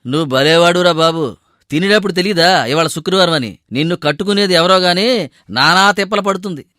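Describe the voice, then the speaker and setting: A man's voice: high voice, a little fast, bright, forceful tone, no room sound, clean studio sound. A man voicing a proud, exasperated dosa seller in a story, with bantering talk.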